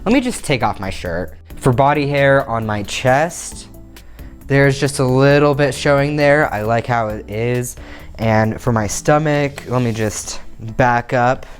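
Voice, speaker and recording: Young guy chatting to his camera, upbeat and open, clip-on mic, little room, faint music underneath. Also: mid-range voice. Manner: upbeat and candid, animated hand gestures. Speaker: vlogger. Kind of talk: casual vlog to camera. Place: small bedroom indoors. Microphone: clip-on lapel mic.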